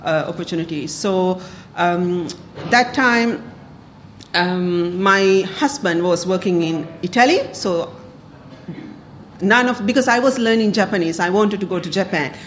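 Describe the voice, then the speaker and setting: A woman's voice: fairly low pitch, unhurried, a little hiss or hum. A woman from Sri Lanka giving a public talk to a club, speaking hesitantly with many fillers and the odd laugh. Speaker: community council speaker.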